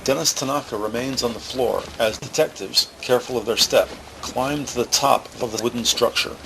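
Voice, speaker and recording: Bright-voiced male crime drama narrator. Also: mid-range voice, normal speed, vocal fry, a little hiss or hum, low-quality recording. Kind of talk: scripted present-tense crime drama narration. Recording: faint music behind.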